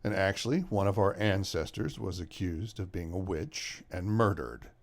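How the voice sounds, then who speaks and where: A man's voice: sits low, normal speed. A man playing an earnest brother who talks at length about family history, in a radio drama. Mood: explaining.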